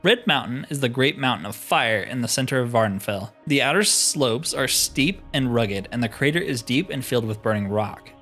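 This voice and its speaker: A man's voice: mid-range voice, expressive, normal speed, few pauses, sharp tone, faint music behind. A man voicing a grave tribesman in a fantasy game, speaking in plain declarative storytelling.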